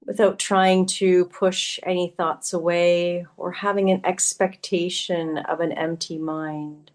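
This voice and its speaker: Very slow, soothing woman guiding meditation. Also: on the low side, little pitch movement. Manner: soothing, gently instructive, with long pauses. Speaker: yoga teacher. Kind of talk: guided meditation, yoga nidra.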